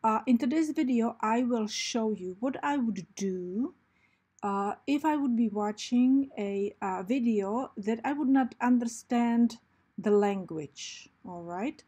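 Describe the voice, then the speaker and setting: A woman's voice: fairly high pitch, slow, dark tone, husky. A woman with a Czech accent, a craft channel host, patiently walking viewers through a tutorial step by step, with many "uh" fillers. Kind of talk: screen-recording tutorial.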